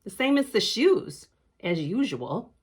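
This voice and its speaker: A woman's voice: medium pitch, sing-song, slow, husky, pitched below the performer's own voice, exaggerated voice. A woman voicing an earnest, slightly exasperated little kindergarten girl in a picture book, blunt and matter-of-fact.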